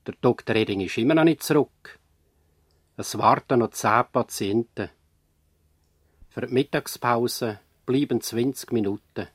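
A man narrating a radio play as an earnest commentator, in deliberate, emphatic short phrases. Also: medium pitch, husky, no echo. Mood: matter-of-fact, urgent. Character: earnest, probing narrator-commentator of a medical drama.

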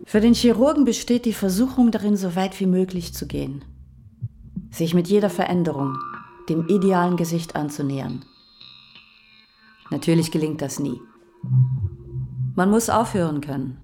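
An actress playing a reflective, sober female surgeon in an audio drama, speaking in a measured way. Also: on the low side, warm tone, lightly acted. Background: faint music.

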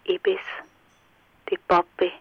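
A man's voice: slow, no room sound. A man playing an estranged father pleading tenderly with his daughter in a children's radio play, with a Swiss German accent, through a telephone filter. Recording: dull, muffled telephone-line sound.

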